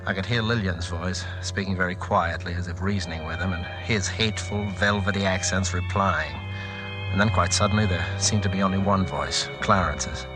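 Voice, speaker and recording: A man's voice: deep voice, fairly even intonation, moderate pace, music in the background, lightly acted, poor audio. An actor playing a blunt, hot-tempered and protective family man in a dramatic 1940s radio suspense style. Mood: grim narration. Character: hot-tempered, protective husband and father narrating his ordeal. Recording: old 1940s radio recording.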